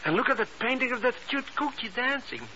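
An actor playing an excitable, greedy, dim sidekick who chatters and stammers eagerly in a 1950s radio drama. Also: male voice, very high voice, quick pace, exaggerated voice. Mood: eager, curious. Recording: muffled, noisy early-1950s radio recording.

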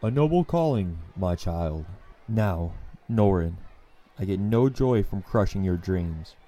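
A man's voice: deep voice, lively intonation, takes it slowly, dark tone. A man voicing a stern, disapproving older alien teacher who lectures wearily and dismissively in a comic dub. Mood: exasperated, stern. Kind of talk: comic dub character acting.

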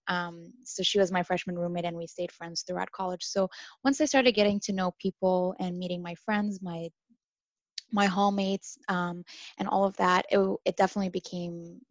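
A woman in her twenties from Syria who grew up in Dubai, a former international student talking casually as a podcast guest, lively and full of fillers. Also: on the low side, no room sound, muffled recording. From Syria, raised in Dubai. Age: 20s. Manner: animated, chatty, with many fillers and laughs.

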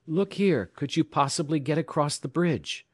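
A male narrator acts out an earnest young telegraph operator in an adventure storybook. The voice is pitched well up, urgent and eager but respectful, and recorded dry. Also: moderate pace, dry recording, close to an everyday voice. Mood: urgent, excited.

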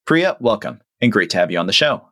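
A man's voice: fairly low pitch, sing-song, brisk, pushed and bright, no room sound, clean studio sound. A man hosting a podcast, introducing his guest and asking questions in a friendly, easygoing way. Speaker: podcast host. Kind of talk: interview hosting.

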